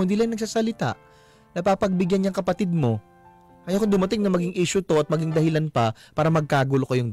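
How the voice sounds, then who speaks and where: Male Filipino radio host, slightly high and lively intonation, conversational advice-giving with frequent tag questions, faint music underneath. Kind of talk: casual advice talk on radio. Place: radio studio.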